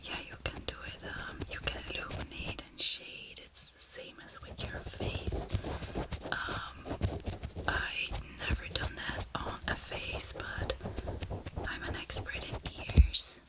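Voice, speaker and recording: A woman speaking in a breathy whisper, gently murmuring through an ASMR personal attention roleplay with soft pauses. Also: rough recording. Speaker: ASMR creator playing an ear decorator.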